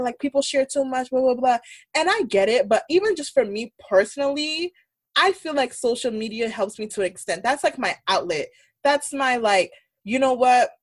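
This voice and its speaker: A woman's voice: a little high, no echo. A young woman co-hosting a podcast, talking openly in casual chat and running her thoughts together. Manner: open, candid, talks in quick run-on bursts. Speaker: podcast co-host.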